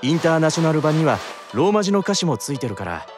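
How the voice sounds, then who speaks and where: An actor playing a composed, bookish demon brother in a scripted promotional message, speaking intimately to the listener. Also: male voice, a little high, big pitch swings, quick pace. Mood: composed, friendly. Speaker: character promoting a song CD. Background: music.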